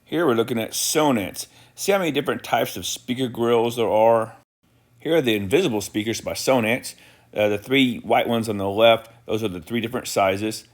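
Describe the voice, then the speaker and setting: A man's voice: medium pitch, moderate pace. A man narrating an informal product tour in an approving, enthusiastic manner, pointing things out to viewers.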